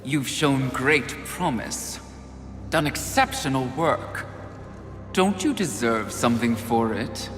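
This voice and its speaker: Echoing, arrogant tempter. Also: male voice, medium pitch, lively intonation. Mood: proud, tempting. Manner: grandiose, persuasive, deliberate. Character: arrogant, seductive supernatural villain embodying pride. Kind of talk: dramatic video game cutscene acting. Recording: echoey hall reverb. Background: music.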